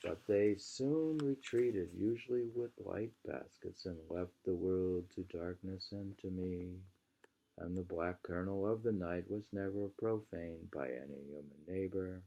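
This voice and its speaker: An American man reading a literary book aloud in an unhurried, musing manner, often pausing to repeat or mull over phrases. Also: sits low, vocal fry, warm tone, highs cut off, a little hiss or hum. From New England, United States. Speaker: reader.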